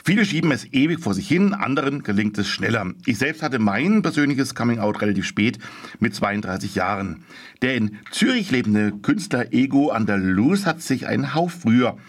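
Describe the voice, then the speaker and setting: A man, a radio show host, reading a scripted introduction and then asking questions calmly. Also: medium pitch, normal speed. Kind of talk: radio interview.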